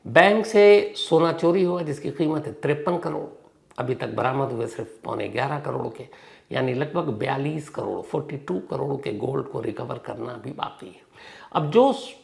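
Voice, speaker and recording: A man hosting a crime storytelling show, speaking conversationally with dramatic pauses, strong stresses and phrase endings that drop away. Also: vocal fry, a little mellow. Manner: dramatic pauses and emphatic stresses, sentences trailing off low.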